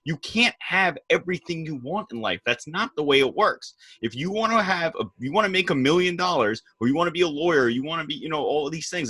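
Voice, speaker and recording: Bright, husky-voiced man lecturing bluntly. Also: a little high. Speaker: podcast co-host. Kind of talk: casual political chat.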